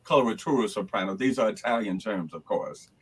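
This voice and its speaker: A man's voice: lowish voice, brisk, breathy edge. An older man and choral conductor in casual chat, reflective, often repeating words as he gathers his thoughts.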